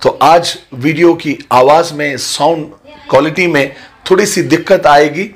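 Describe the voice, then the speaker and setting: A hoarse-voiced man telling a religious story to his online viewers, greeting them and speaking slowly and deliberately. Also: on the high side. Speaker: Islamic storyteller and preacher.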